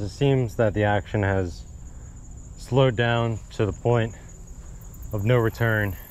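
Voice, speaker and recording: Male fishing vlogger, easygoing and chatty, lower voice, outdoor action-cam sound with faint crickets. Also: a little mellow, a little hiss or hum. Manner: chatty, easygoing, thinking aloud. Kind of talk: casual outdoor vlog narration. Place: outdoors at a marsh pond. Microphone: action camera worn on body.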